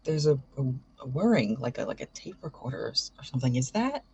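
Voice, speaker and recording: A man playing a shy, tender young man who stammers haltingly through audio drama dialogue in a very husky, creaky voice. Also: mid-range voice, sing-song, a little mellow, heavily acted. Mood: puzzled.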